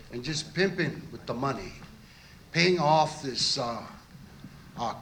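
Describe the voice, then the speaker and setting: A man's voice: medium pitch, sharp tone, a little hiss or hum, low-quality recording. A man in his sixties with a local Hawaiian accent giving impassioned, confrontational public testimony, full of blunt rhetorical questions. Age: 60s. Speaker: activist testifying at a public meeting.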